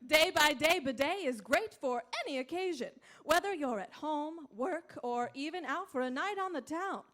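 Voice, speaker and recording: A woman's voice: high-pitched, lively intonation, normal speed, fairly bright tone. A woman playing a chirpy, pushy saleswoman in a parody radio commercial. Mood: peppy, salesy. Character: peppy, pushy infomercial saleswoman.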